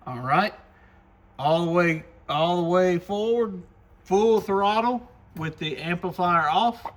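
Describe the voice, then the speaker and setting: A man's voice: high voice, slow. A man giving a casual product demo in a folksy, enthusiastic way, like a shop presenter talking to viewers.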